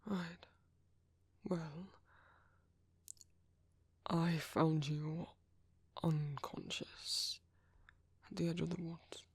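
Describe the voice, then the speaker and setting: A British man playing a gentle, protective vampire priest in an ASMR roleplay, speaking in hushed, hesitant, soothing whispers. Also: fairly high pitch, flat delivery, very slow, long pauses, husky, dry recording, full character voice. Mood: gentle reassurance. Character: gentle, soft-spoken male vampire priest, protective caretaker.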